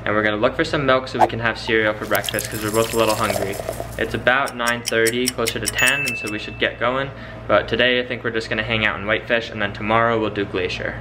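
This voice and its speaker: A man's voice: fairly low pitch, fairly even intonation, quick pace, few pauses, low-quality recording. A man in his twenties narrating a casual road trip vlog to a handheld camera. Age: 20s. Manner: easygoing, chatty, matter-of-fact. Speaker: travel vlogger. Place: car and motel room. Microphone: handheld camera at arm's length. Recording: music playing underneath.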